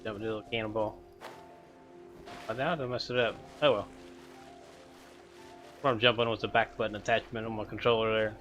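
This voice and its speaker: A man gives casual gameplay commentary in a low voice, full of relaxed, half-mumbled asides. He chuckles often as he goes. Faint game music plays behind him. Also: fairly quick, long pauses. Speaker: streamer.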